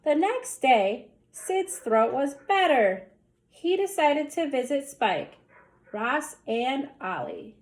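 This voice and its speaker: A woman reading a children's story aloud, with deliberate, theatrical emphasis on the action words. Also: sits high, very animated. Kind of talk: expressive bedtime story read-aloud.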